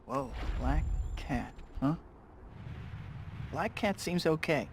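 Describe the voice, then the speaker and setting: Wisecracking young American superhero, slightly high. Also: male voice, lively intonation, slow pace, very noisy. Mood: musing. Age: young adult. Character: wisecracking, eager young male student superhero. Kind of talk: video game voice acting.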